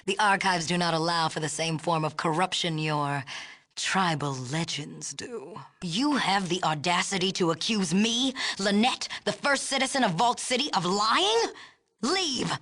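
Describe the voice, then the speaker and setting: A woman's voice: lowish voice, lively intonation, a little slow, a bit bright. A woman playing a haughty, imperious leader of a city in a video game, speaking formally and precisely with a condescending manner. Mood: angry, scornful. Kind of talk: video game character dialogue.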